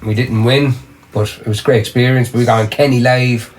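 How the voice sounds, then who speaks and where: A man's voice: medium pitch, a little fast, low-quality recording. Rambling middle-aged Irish man. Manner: rambling, restarts often, animated storytelling. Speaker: singer and drummer, podcast guest. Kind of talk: casual interview chat.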